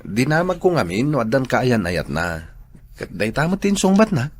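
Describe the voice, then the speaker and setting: A man acting a shy, earnest young office worker in love with a coworker, soft-spoken, in a radio drama. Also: mid-range voice, sing-song, normal speed, dry recording.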